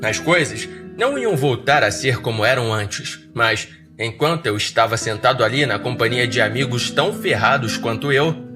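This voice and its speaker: A man's voice: mid-range voice, animated, moderate pace, bright, forceful tone. A Brazilian man with a mid-range voice hosts a horror podcast. He speaks informally, like a storyteller, over faint background music. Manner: informal, slangy. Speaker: horror podcast host and narrator. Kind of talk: scripted story narration and casual listener address.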